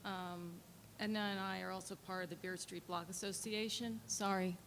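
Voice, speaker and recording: Soft-spoken woman with gravelly fry. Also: medium pitch, steady tone, moderate pace. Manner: earnest, explaining with technical terms, many fillers. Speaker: local resident and architect giving public testimony. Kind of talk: public hearing testimony. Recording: echoey hall, faint noise.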